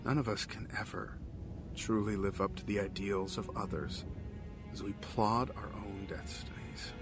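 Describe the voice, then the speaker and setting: An actor playing an embittered, obsessive villain in an audio drama, speaking in a grandiloquent, theatrical way. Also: male voice, on the low side, fairly even intonation, measured pace, over music, lightly acted. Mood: bitter, pleading.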